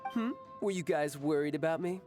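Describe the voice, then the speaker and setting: A man's voice: on the high side, moderate pace, over loud music, natural voice. A young man voicing a cocky, teasing warrior in a video game, breezy and boastful. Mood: smug, teasing.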